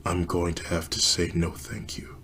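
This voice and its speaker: A man voicing a frail, dying middle-aged woman who speaks in a weak, slow, whispery voice. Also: deep voice, monotone, fairly bright tone, slight background noise. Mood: weak, resigned.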